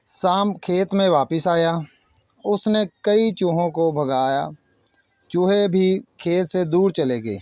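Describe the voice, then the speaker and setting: A man's voice: high voice, darkish tone, no echo. A man narrating a moral story for children like a teacher, speaking directly to young listeners in short separated phrases. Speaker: children's storyteller and channel host.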